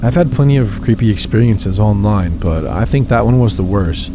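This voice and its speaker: A man narrating first-person gaming horror stories in a steady, measured reading. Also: lowish voice, background noise. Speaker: channel host reading submitted stories. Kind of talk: scripted horror story narration.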